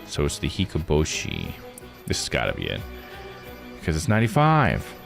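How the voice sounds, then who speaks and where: A man streaming a visual novel, thinking out loud in casual live commentary as he reads and puzzles. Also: very deep voice, wildly swooping pitch, moderate pace, gravelly, over music. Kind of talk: casual live game commentary, reading text aloud.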